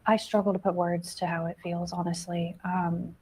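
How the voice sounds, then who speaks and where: Female news interviewee, flat and mellow with vocal fry, halting, faint noisy ambience behind. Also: lowish voice. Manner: halting, searching for words.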